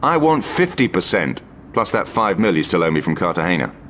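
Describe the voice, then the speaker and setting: An actor playing a jaded, middle-aged secret agent in a video game, dry, blunt and weary. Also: male voice, low-pitched, big pitch swings, fairly quick, a bit bright, slight background noise. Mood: indignant. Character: jaded, hard-bargaining veteran male secret agent. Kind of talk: video game cutscene acting.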